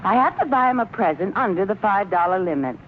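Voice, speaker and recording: A woman's voice: mid-range voice, expressive, fast, lightly acted. A woman playing a wheedling, playful American housewife in vintage radio comedy style, theatrical and coaxing. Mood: scheming. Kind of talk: 1940s-50s radio situation comedy acting. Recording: tinny, hissy old radio recording.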